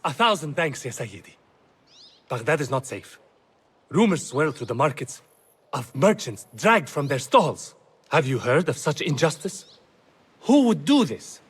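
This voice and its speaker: Anxious polite market trader in a game, highish husky voice, very earnest. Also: male voice, a little slow, long pauses, full character voice. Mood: worried, indignant. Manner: earnest, formal, expressive. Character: anxious, courteous Baghdad market trader, indignant at injustice. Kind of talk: video game voice acting.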